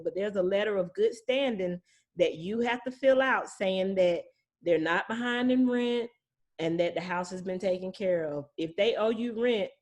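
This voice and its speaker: A woman speaking as a property manager, explaining things informally and illustrating points with anecdotes and imagined exchanges. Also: medium pitch.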